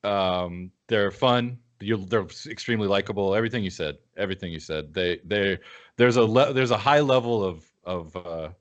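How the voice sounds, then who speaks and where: A man's voice: on the low side, moderate pace. A man co-hosting a podcast, chatting casually about film with emphatic, repeated phrases. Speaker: podcast co-host. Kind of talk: casual film review chat. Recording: dull, lo-fi podcast audio.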